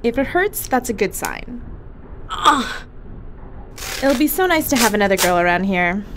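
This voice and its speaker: Lively chatty ship medic woman, played by an actress. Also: mid-range voice, moderate pace, noisy background, close to an everyday voice. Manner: chatty, animated storyteller, casual and teasing. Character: Janelle, young woman medic on a spaceship crew.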